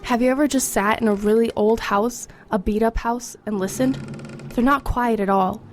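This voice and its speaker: A woman's voice: fairly high pitch, steady tone, normal speed, natural voice. A woman playing an anxious, defiant teenage girl in an audio horror drama. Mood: uneasy narrating. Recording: background noise and music under voice.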